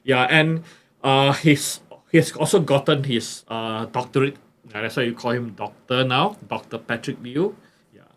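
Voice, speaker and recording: A man hosting a podcast, introducing his guest haltingly with many restarts. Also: medium pitch, fairly bright tone. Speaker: podcast host. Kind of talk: casual interview introduction.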